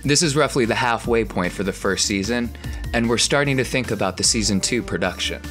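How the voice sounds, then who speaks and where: A man's voice: on the low side, fairly bright tone. A man working as game master and podcast host, narrating a tabletop game in a conversational, descriptive way.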